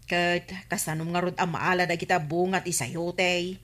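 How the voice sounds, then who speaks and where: A woman playing a sharp-tongued, scolding village woman in an Ilocano comedy radio drama, argumentative and exasperated, with an Ilocano accent. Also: on the low side, flat delivery, moderate pace, lightly acted. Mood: nagging. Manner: nagging, argumentative.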